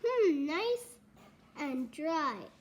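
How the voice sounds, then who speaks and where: A young girl reading the lines of a sleepy, agreeable male storybook goose in a halting delivery. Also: high-pitched, big pitch swings, darkish tone, lo-fi sound, exaggerated voice.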